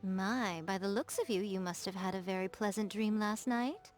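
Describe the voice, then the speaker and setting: A woman's voice: mid-range voice, darkish tone, close to an everyday voice. Woman voicing sly elegant fox spirit lady from a game, playful n coy, teasing phrases, music behind her. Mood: coy, gentle. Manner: playful, coy, self-assured, speaking in measured teasing phrases. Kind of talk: English video game character voice acting. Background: background music.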